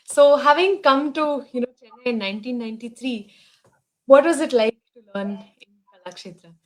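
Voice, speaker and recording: A woman interviewing a guest, asking polite, measured questions. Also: high voice, poor audio. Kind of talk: online interview.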